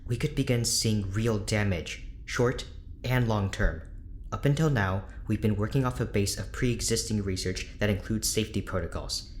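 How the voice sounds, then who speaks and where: A man's voice: on the low side, normal speed, a little hiss or hum, natural voice. A man playing a reserved, weary research doctor in an audio drama, speaking in a guarded, hesitant, clinical way. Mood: alarmed.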